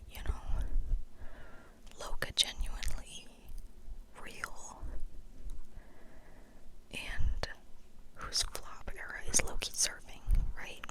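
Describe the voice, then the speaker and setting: A woman whispering a chatty, tongue-in-cheek ASMR monologue full of internet slang, sometimes repeating phrases slowly. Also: poor audio. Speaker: ASMR video creator.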